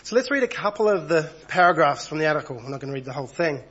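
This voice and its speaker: A man over thirty-five, husky from a cold, preaching an evening church sermon in a conversational way and reading passages aloud. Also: fairly high pitch, big pitch swings, rapid-fire, a bit bright, muffled recording. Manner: conversational, reads passages aloud, small asides.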